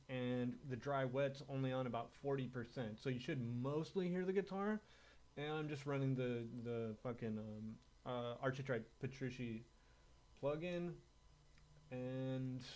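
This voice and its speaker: A man with an average-pitched voice gives an informal music tech demo. He talks in loose, laid-back bro slang with casual swearing, like a guitarist walking a friend through his sounds. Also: darkish tone.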